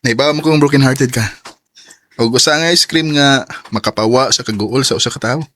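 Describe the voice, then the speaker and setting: A man's voice: medium pitch, brisk, fairly bright tone, lightly acted. A man acting a polite, hesitant, sweet-talking young office suitor in a radio drama, with a Cebuano accent. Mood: sweet, hopeful. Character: eager, shy young male suitor at the office.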